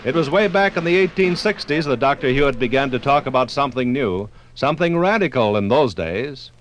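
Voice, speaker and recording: A man's voice: medium pitch, expressive, poor audio. Formal 1950s American radio narrator, a man. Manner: formal, measured, dramatic emphasis. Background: faint music.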